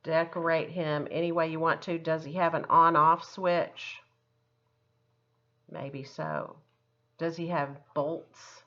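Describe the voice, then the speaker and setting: Woman, slightly low pitch, art teacher, casual step-by-step demonstration, chatty, encouraging, slightly muffled lo-fi recording. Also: little pitch movement, takes it slowly, fairly bright tone. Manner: encouraging, chatty, talks through each step. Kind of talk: casual step-by-step craft lesson for children.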